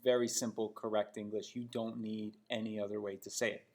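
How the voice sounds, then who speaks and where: A man's voice: lowish voice, level tone, a little fast. A man from Toronto with a Canadian accent, giving an English lesson in an emphatic, didactic manner with frequent check-in questions. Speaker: English teacher. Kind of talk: spoken English lesson critiquing example sentences.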